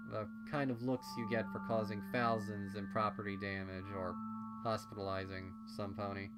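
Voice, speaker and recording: A man reading a first-person story aloud in a conversational, measured storytelling manner. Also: lowish voice, little pitch movement. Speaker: fan fiction reader. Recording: loud music behind the voice.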